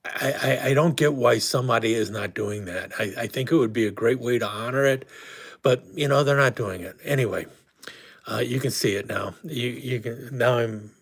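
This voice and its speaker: A man's voice: medium pitch, normal speed, gravelly, husky. A man hosting a casual live stream who is losing his voice, talking in a rambling way with many restarts. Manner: rambling, frequent restarts and fillers. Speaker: host.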